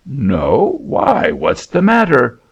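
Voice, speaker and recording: A man's voice: on the high side, huge pitch swings, slow pace, vocal fry, slightly rough, highs cut off, voice pushed up, heavily acted. A man voicing a smug city auctioneer who talks in a curt, offhand way, in a storybook reading. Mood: dismissive.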